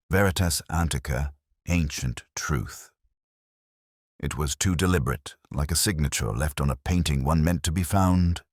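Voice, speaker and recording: A man playing a world-weary older detective, narrating a mystery drama in a measured, literary, wry and melancholy way. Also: extremely low pitch, no room sound, studio quality, heavily acted. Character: world-weary, brooding older male English detective narrating his case. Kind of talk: scripted first-person mystery audio drama narration.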